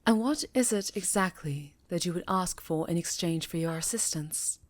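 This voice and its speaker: A woman voicing a dignified, guarded leader of a witches' coven in an ASMR fantasy roleplay, formal, measured and earnest. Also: on the low side, no room sound, lightly acted. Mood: guarded, businesslike.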